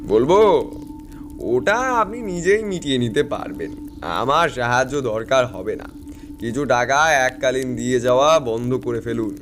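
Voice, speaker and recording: An actor playing a shrewd, self-assured tantric fortune-teller, narrating and speaking with knowing authority in an audio drama. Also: male voice, mid-range voice, animated, measured pace, noisy background, natural voice. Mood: knowing, advising. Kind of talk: dramatised first-person story narration.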